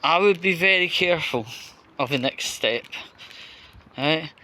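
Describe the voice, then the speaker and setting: A man giving off-the-cuff political commentary outdoors on a busy street, halting and emphatic, often repeating words. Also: a little high, pushed and bright. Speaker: vlogger. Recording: noisy street ambience. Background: street noise.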